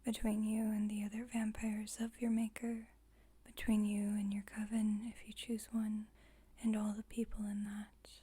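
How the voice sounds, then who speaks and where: A woman playing a calm, formal, caring vampire coven leader in a whispered ASMR roleplay, speaking in a gentle, measured, breathy whisper. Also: mid-range voice. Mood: calm, instructive.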